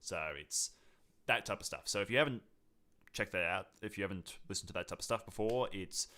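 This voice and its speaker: A young man with a fairly low voice that has a bright, forceful edge. He talks casually, as a podcast co-host chatting with a partner. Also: brisk. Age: young adult.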